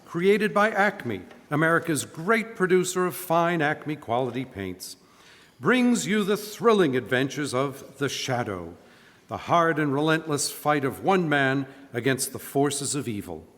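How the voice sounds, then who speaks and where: A man's voice: fairly high pitch, sing-song, unhurried, a bit bright. A man playing an old-time radio announcer, delivering scripted sponsor copy and dramatic narration in 1940s style. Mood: salesmanlike. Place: church, live stage performance. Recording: live in echoey hall.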